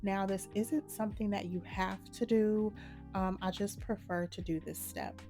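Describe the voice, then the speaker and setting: A woman hosting a nail art channel, slightly hoarse from a cold, talking viewers through a manicure step by step in a relaxed, matter-of-fact way. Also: mid-range voice, measured pace, soft background music. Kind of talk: casual step-by-step tutorial narration.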